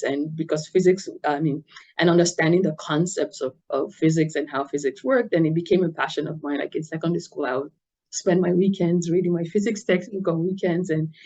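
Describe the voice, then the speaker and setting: Measured Nigerian-born woman, muffled sound. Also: deep voice, a little mellow. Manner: thoughtful, measured, with many fillers. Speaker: guest. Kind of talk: interview conversation.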